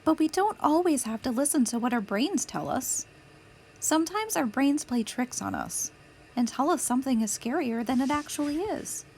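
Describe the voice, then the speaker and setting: A woman reading a picture book aloud as a storytime host, with deliberate, clear phrasing for young children. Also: high-pitched, a little mellow. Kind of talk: children's read-aloud narration.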